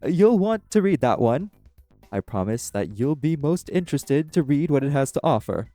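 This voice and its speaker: A man's voice: a little high, animated, mellow, dry recording, soft background music, natural voice. A man playing a polite, earnest guardian angel who speaks formally and diplomatically in an audio roleplay. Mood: diplomatic. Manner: formal, courteous, measured explaining.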